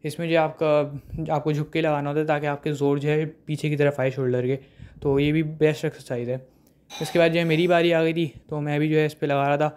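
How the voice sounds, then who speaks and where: A young man calmly narrating his gym workout as a voice-over, with many fillers. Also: on the high side, fairly quick. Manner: steady recap, heavy fillers.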